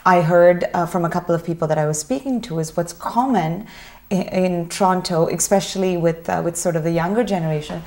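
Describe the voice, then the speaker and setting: A woman's voice: lowish voice, a little fast, a little mellow. A woman hosting a television panel discussion, asking thoughtful questions that hedge and restart with many fillers. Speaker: TV talk show host.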